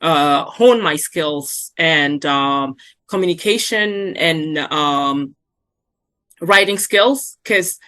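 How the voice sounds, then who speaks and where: A middle-aged woman from South Sudan, a native Arabic speaker, telling her career path in a halting way with many fillers and restarts. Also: deep voice, a bit bright. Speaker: program alumna and epidemiologist. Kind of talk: recounting career path in a webinar.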